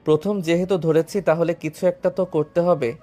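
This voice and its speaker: A man playing a calm, fearless young student hero in an audio story, with a composed, defiant manner. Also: a little high, normal speed, faint music behind, close to an everyday voice. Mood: cool defiant. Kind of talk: dramatized audio story acting.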